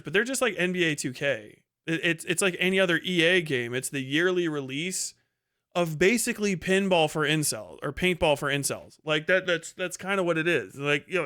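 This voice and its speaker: A man with a fairly high, bright and forceful voice commentates casually on a livestream. He rambles through his opinions in blunt, slangy language and swears freely. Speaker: livestream host reacting to a video.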